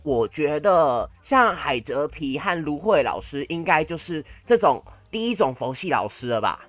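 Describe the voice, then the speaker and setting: Young man, Taiwanese Mandarin accent, very animated intonation, dry close sound, podcast co-host, casual banter, playfully theatrical. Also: a little high, moderate pace, no room sound, soft background music.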